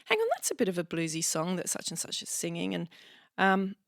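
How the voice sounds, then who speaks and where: A woman's voice: on the low side, big pitch swings, a little fast, gravelly, no room sound, studio quality. A woman in her fifties, a songwriter and sound engineer, chatting as a guest in a casual, self-deprecating way and laughing often. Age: 50s. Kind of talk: casual interview chat.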